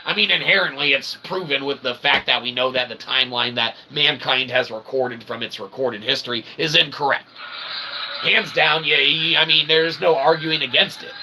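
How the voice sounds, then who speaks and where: A man's voice: medium pitch, normal speed, pushed and bright, slight background noise, poor audio. A man delivering an opinionated, rambling solo monologue, emphatic and ranting, often checking in with short tag questions. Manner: emphatic, ranting, peppered with "okay?" and "right?"; casually profane. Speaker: independent author and channel host.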